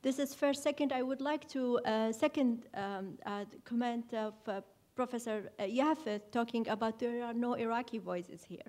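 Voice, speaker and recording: A young woman from Iraq, an audience member, speaking earnestly and haltingly at a standing microphone in a lecture hall. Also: on the high side, steady tone, a little mellow. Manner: earnest, hesitant, halting phrasing. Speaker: audience member asking a question. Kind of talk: public forum comment at a microphone.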